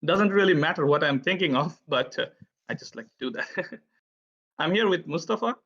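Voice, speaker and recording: A friendly man presents an online tech session, chatting loosely and filling pauses with "uh". His voice sits on the higher side, and the audio comes through dull and lo-fi. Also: expressive, fairly bright tone. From India. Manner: friendly, chatty, many filler "uh"s. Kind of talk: conference presentation.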